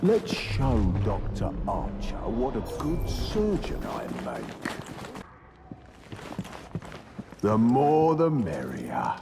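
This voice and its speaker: A menacing, mocking killer. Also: male voice, medium pitch, huge pitch swings, takes it slowly, gravelly, music in the background, heavily acted. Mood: gloating. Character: vengeful, taunting male serial killer. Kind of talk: video game cutscene acting. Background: orchestral game score.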